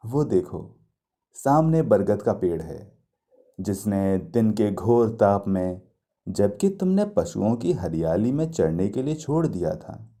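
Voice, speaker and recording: Man voicing a wistful, slow-spoken young woman of myth. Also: on the low side, big pitch swings, dark tone, exaggerated voice. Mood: tender, pleading. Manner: poetic, pleading, emphatic.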